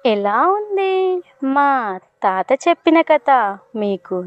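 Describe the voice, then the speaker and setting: A woman's voice: high voice, big pitch swings, slow pace, dry recording, dull audio. A woman delivering a scripted sign-off as a story channel's presenter.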